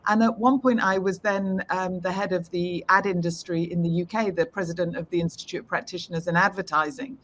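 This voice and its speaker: A woman's voice: lowish voice, steady tone, brisk, few pauses, fairly bright tone, slight background noise. Upbeat British woman on a video call. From United Kingdom. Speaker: interview guest, tech executive. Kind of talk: remote interview answers. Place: home room, indoors.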